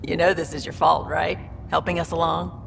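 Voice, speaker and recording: A tough, sardonic colonist from a sci-fi game. Her voice sits low with a creaky grain, in long reverb over music. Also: steady tone, normal speed, background noise. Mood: fond, hopeful. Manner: dry, teasing.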